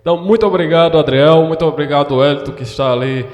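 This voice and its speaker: A man's voice: fairly high pitch, fast, darkish tone. A man hosting a podcast in a formal, courteous manner, thanking his guest and closing with a blessing. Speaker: podcast host. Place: council building room. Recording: echoey room with long hall reverb.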